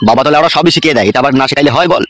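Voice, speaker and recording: A man's voice: on the high side, very fast, a bit bright, no room sound, lightly acted. A man voicing a crude, bossy leader who teases his friend in a comedy cartoon. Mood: teasing.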